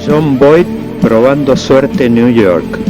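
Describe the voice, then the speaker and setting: Announcer man over loud guitar. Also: mid-range voice, low-quality recording. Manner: measured, announcer-like delivery. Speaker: podcast announcer. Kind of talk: scripted intro narration. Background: loud guitar music.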